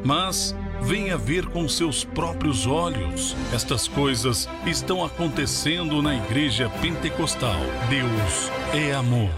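A man's voice: mid-range voice, animated, slow pace. A man with a Brazilian accent making a scripted, solemn, declamatory announcement for a religious program. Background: loud music.

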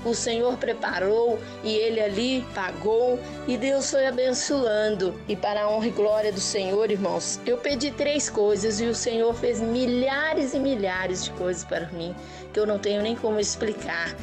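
A woman's voice: a little high, moderate pace. A middle-aged Brazilian woman giving a devout, earnest personal testimony of faith to listeners. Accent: Brazilian Portuguese. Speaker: church member giving a testimony. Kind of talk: personal religious testimony addressed to viewers. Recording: music bed under the voice.

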